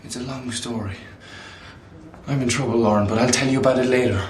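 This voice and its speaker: An Irish actor playing an embattled, weary newspaper reporter in a radio drama, terse and sardonic. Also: male voice, mid-range voice, steady tone, fairly quick, slight background noise, close to an everyday voice. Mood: weary, evasive. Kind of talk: naturalistic radio drama acting.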